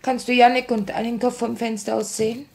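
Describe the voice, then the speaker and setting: An actress playing a grave, sorrowful woman revolutionary, tender yet resolute, with solemn, measured delivery in 1950s German radio drama. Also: fairly high pitch, little pitch movement, gravelly, a little mellow. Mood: grave, restrained.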